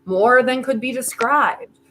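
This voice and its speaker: A woman acting in a staged play reading as a desperate, quick-witted, volatile woman who argues in a halting, emphatic way, thinking aloud. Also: sits high, sharp tone, slight background noise, full character voice. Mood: urgent, reasoning.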